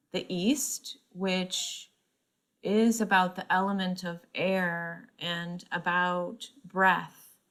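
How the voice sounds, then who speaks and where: A woman teaching yoga nidra, speaking in measured guided-meditation phrases with frequent pauses mid-sentence. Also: lowish voice, fairly bright tone.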